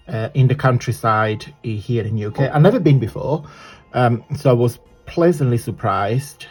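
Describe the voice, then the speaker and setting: Crafting host, male, croaky and husky, rambling chat over faint music. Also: mid-range voice, darkish tone. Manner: rambling and chatty, many fillers and restarts. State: croaky morning voice. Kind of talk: casual chat while crafting. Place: home craft table, indoors.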